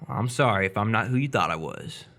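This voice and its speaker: A man's voice: fairly low pitch, lively intonation, fairly quick, fairly bright tone. An actor playing an earnest, awkward seventeen-year-old boy with a hesitant, rambling way of talking in live radio theatre. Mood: puzzled.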